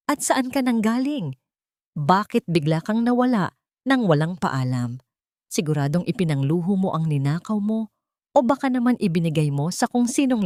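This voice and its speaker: A woman's voice: lowish voice, a little mellow, no room sound, studio quality, natural voice. A woman voicing a brutal, contemptuous bully who hurls scornful accusations in an audio drama story.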